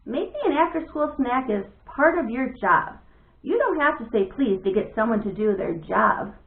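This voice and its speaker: A woman's voice: a little high, lively intonation, moderate pace. A woman voicing a stubborn, demanding young boy in a storybook, with an insistent, whiny delivery. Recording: thin phone-like audio, echoey room.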